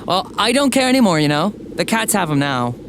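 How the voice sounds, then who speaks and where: A man's voice: sits high, sing-song, a little fast, background noise. An actor playing an awkward, self-centred young man in an audio drama, hesitant, defensive and boyish. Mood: excited, defensive. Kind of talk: scripted audio drama acting.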